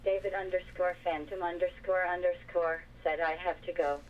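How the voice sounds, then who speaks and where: A woman's voice: lowish voice, fairly even intonation, normal speed. Muffled American text-to-speech woman over speakerphone. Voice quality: synthetic. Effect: telephone speakerphone. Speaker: text-to-speech reader of viewer messages. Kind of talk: synthetic read-aloud of chat messages. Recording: muffled, distant speakerphone with background noise.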